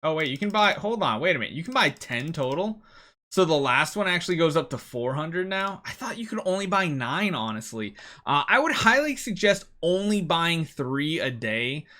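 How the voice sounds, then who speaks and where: A man's voice: fairly high pitch, very animated, normal speed, sharp tone. A man hosting a gaming video, giving casual, emphatic gameplay advice and repeating key words to drive points home.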